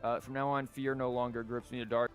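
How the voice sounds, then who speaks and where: A man's voice: medium pitch, little pitch movement, brisk. A man livestreaming a game, chatting casually in a rambling, wry and sarcastic way. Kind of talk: casual chat and commentary while streaming a game. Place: live stream from an indoor setup. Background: game music.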